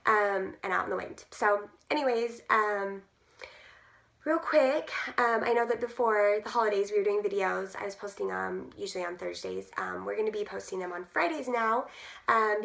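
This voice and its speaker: Hoarse, gruff-voiced woman with creaky fry. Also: medium pitch, fairly bright tone. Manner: chatty, many "um" fillers, upbeat. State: hoarse, losing her voice. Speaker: direct sales team leader. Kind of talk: casual talk to camera.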